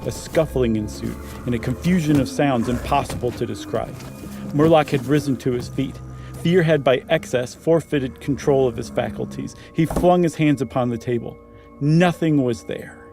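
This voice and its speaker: A man's voice: mid-range voice, normal speed, vocal fry. An American man from Ohio co-hosting a podcast, moving between casual chat and dramatic reading of a horror story. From Ohio, United States. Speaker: podcast co-host. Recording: faint music under the voice. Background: faint music.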